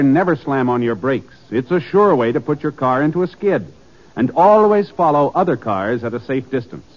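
Old time radio announcer guy, earnest, lively up and down delivery, muffled lo-fi sound. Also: fairly high pitch. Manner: formal, earnest, measured phrasing. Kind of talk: scripted 1950s radio announcement. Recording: dull lo-fi 1950s radio recording.

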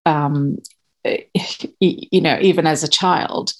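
A woman's voice: deep voice, steady tone, slow. A woman in her fifties from Malawi, a podcast guest talking about her family, hesitant with many fillers and restarts. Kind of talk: casual interview chat.

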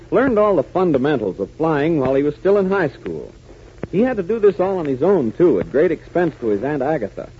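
An American man announcing and narrating a radio adventure serial in a dramatic, emphatic 1940s style. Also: on the high side. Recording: muffled, hissy 1940s radio recording.

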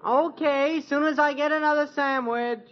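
A man's voice: very high-pitched, steady tone, normal speed. An American man playing an eager, cheeky teenage son in a 1950s radio sitcom. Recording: dull old radio broadcast recording.

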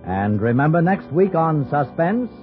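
A man's voice: on the high side, sing-song, a little slow, soft background music. An American man announcing a 1950s radio drama in a formal, dramatic manner. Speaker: program announcer. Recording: muffled old radio recording.

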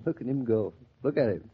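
An actor playing an affectionate young American husband in a 1940s radio drama. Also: male voice, mid-range voice, expressive, moderate pace, husky. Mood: gentle, admiring. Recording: muffled 1940s radio transcription.

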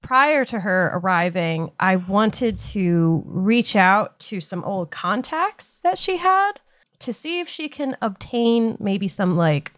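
A woman chatting casually as a player in a tabletop game, playful and expressive. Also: medium pitch, slow pace, no room sound, clean studio sound.

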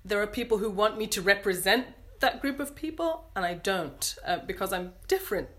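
A woman with an English accent, speaking earnestly and thoughtfully as an interview guest, pausing to search for words. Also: medium pitch, animated, fairly bright tone. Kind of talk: reflective interview conversation.

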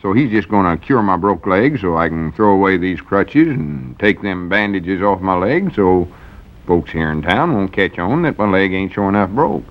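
An actor playing an excitable, scheming country storekeeper with a rural Arkansas accent in 1940s radio comedy. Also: male voice, low-pitched, moderate pace. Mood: excited, scheming. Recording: dull old 1940s radio broadcast.

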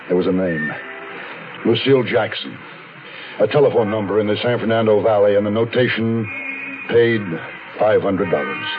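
A man's voice: fairly low pitch, close to an everyday voice. An actor playing a wary man on the run, telling his story tersely in a 1970s American radio suspense drama. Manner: terse, guarded. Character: terse, wary male fugitive narrating his own story. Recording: dull 1970s radio recording.

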